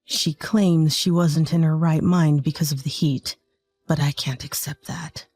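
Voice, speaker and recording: Woman doing a low, apologetic young husband. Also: steady tone, a little slow, dry recording, faint music behind. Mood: earnest. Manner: soft, apologetic.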